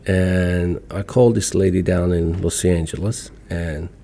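Iranian-accented male guest, deep mellow voice, motivational and emphatic. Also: steady tone, unhurried, vocal fry, a little hiss or hum. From Iran. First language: Farsi. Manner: emphatic, motivational, repeats short phrases. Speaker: podcast guest. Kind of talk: casual interview talk.

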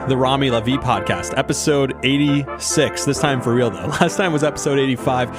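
A man's voice: mid-range voice, a little fast, over music. A young man hosting a sports podcast in a casual, rambling way, his voice a little sore. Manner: chatty, rambling, laughs often. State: slightly sore voice. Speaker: podcast host.

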